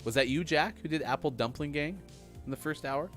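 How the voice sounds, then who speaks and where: A man's voice: a little high, a little fast. A man hosting a casual morning gaming stream, reading daily trivia and laughing often. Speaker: host. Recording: faint music behind.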